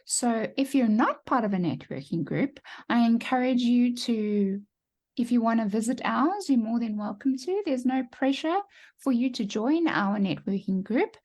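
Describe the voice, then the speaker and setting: A woman hosts an interview with an upbeat, encouraging manner. Her voice sits a little higher than most. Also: a little mellow. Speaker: podcast host. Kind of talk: friendly interview hosting.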